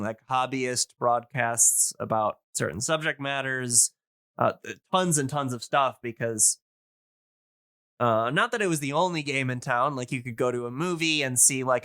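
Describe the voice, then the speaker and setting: A man's voice: medium pitch, fairly bright tone, no echo, clean studio sound. A man hosting a podcast, explaining things casually in a rambling, hesitant but enthusiastic way. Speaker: podcast host.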